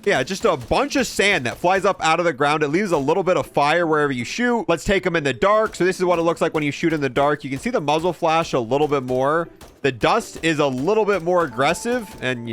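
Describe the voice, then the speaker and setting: A man's voice: a little high, a bit bright. A man giving casual, offhand, wry gameplay commentary as a video game reviewer.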